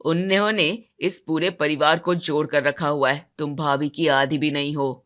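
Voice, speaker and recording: Blunt, judgmental male relative for a family audio drama, dropped pitch , performed by a woman. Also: steady tone, moderate pace, a bit bright. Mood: angry. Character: outspoken, judgmental man of the family. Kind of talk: acted dialogue in a Hindi audio story.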